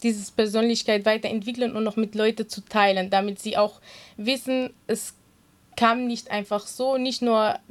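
A woman with a Kurdish accent, Kurdish her first language, answering interview questions in an earnest, halting way with many fillers. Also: on the high side, fairly even intonation. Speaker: interview guest, swimming instructor.

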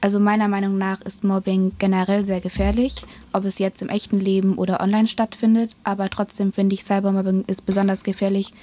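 Flat, mellow eighteen-year-old woman. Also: mid-range voice, a little fast, few pauses. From Germany. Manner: earnest, slight stumbles. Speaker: student panelist. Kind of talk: reading a prepared answer.

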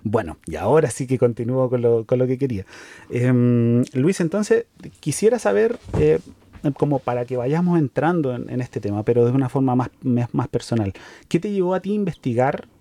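A man hosting an interview, asking questions in a friendly, encouraging way with quick affirmations. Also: mid-range voice, animated, warm tone, dry recording. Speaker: interview host. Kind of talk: conversational interview.

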